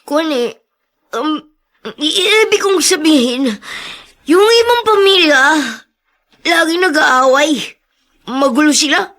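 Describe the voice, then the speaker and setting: High-voiced timid little boy. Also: animated, a little slow, long pauses, a bit bright, exaggerated voice. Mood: hesitant, anxious. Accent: Filipino. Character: young homeless boy, sweet, timid and secretive. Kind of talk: Filipino radio drama acting.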